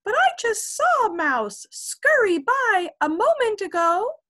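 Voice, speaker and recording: A woman voicing a tiny helpful fly in a high chirpy voice. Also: sing-song, slow, sharp tone, exaggerated voice.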